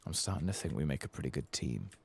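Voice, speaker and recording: A man softly reads the part of a master armorer in a fantasy game, his voice very low and gravelly. He sounds measured and businesslike, giving out instructions. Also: lively intonation, heavily acted. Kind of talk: soft-spoken reading of game quest dialogue.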